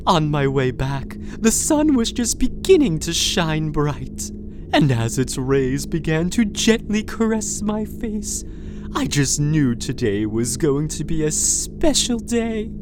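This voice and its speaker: A woman playing a sweet-talking but unstable female captor in an audio drama, using syrupy baby talk that breaks into shrill screaming fits. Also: big pitch swings, slow, background noise, exaggerated voice. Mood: sugary, doting.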